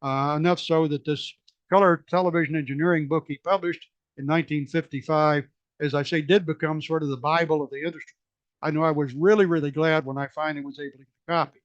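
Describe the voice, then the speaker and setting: A hoarse man presents technical slides to a museum society, stumbling through fillers and restarts as he explains. His voice sits a little high, and the recording is dry and somewhat dull, with no room echo. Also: steady tone. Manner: halting, many fillers and restarts. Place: meeting room.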